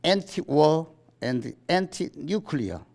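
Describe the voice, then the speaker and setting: Slow-reading man with a Korean accent. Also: fairly high pitch, animated, darkish tone. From South Korea. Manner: halting, deliberate reading in short phrases. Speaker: writers' association chairman giving a statement. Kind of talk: formal read-aloud statement. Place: press conference in a book salon.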